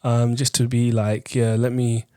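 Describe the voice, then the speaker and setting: A man with a London accent hosts a casual podcast. His mid-pitched voice is conversational and earnest, full of slang. The recording is close and dry, with no room echo. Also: flat delivery, measured pace, dark tone. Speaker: podcast host. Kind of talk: casual chat.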